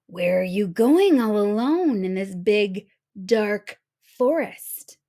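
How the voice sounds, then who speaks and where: A woman voicing a big, sly, grumbly wolf in a storybook, with a crackly growl and a slow, menacing drawl. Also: mid-range voice, expressive, dark tone. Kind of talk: storybook read-aloud character.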